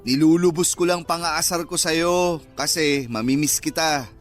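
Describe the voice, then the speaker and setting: Man acting high-voiced teasing young guy friend. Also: fairly even intonation, unhurried, natural voice. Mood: playful teasing. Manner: bantering, playfully sarcastic. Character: teasing, sulky young male best friend. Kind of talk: radio drama acting. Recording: music bed behind the voice.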